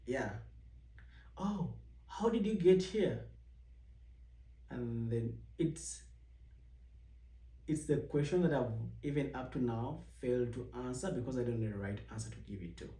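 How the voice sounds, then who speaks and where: Young man from Uganda, vlog host, mellow, rambling storyteller, recorded off-mic in a roomy space. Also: lively intonation, measured pace, long pauses, distant mic. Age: young adult. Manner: rambling with many restarts, acts out quoted questions in a higher voice. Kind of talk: casual personal storytelling. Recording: echoey room, voice set back from the mic.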